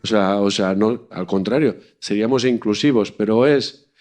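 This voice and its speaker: A man from Catalonia speaking earnestly in a political discussion, often pausing to search for his words. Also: on the low side, darkish tone. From Catalonia, Spain.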